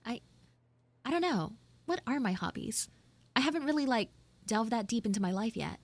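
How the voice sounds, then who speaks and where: A woman's voice: fairly high pitch, lively intonation, moderate pace, no echo. A woman voicing a flustered, shyly smitten tsundere schoolgirl in a live audio roleplay, hesitant and coyly defensive. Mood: flustered, chatty.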